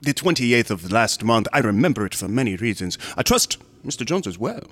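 An actor playing a smug, unctuous museum assistant in a horror radio drama, courteous and insinuating, sometimes dropping into whispers. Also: male voice, mid-range voice, big pitch swings, a little fast, a bit bright, husky. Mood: smug, secretive. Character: sinister, smug, unctuous museum assistant guarding macabre exhibits.

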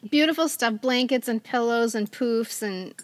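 A middle-aged woman hosting a casual podcast in a playful, animated and jokey manner. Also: fairly high pitch, moderate pace, no room sound. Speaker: podcast co-host and quizmaster.

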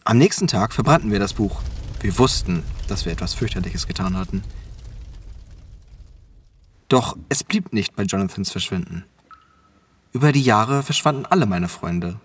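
Man acting a haunted, deep-voiced man with secrets. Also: animated, husky. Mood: haunted, dramatic. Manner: halting, ominous storytelling with dramatic pauses. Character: haunted, guilt-ridden older man, fearful and grave. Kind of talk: German audio drama acting.

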